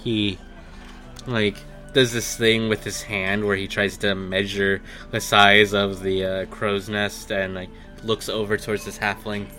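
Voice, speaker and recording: A man narrating a tabletop game as game master, improvising descriptions in halting phrases. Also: fairly low pitch, little pitch movement, bright, forceful tone, faint music behind.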